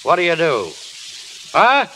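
Brash, wisecracking American desk clerk. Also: male voice, on the high side, wildly swooping pitch, unhurried, bright, forceful tone, heavily acted. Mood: brusque. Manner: curt, sardonic. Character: brash wisecracking male rooming-house clerk, cynical. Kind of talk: 1930s radio acting. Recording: hissy old radio recording.

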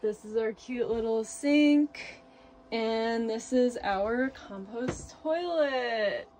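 A young woman giving a casual, relaxed tour of her small wooden house, chatting with fillers and little laughs, filmed on a handheld phone indoors. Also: on the high side, takes it slowly, a little hiss or hum.

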